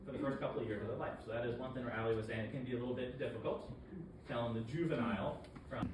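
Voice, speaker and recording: A man's voice: medium pitch, very fast. A man explaining how to identify birds to a group, like an instructor. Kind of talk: field lecture on birds. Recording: distant echoey recording with noise.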